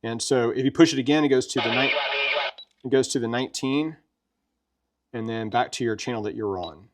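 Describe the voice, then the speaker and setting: A man's voice: medium pitch, a little fast. Casual man demonstrating gear. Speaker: presenter of a gear review. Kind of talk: casual product demonstration chat.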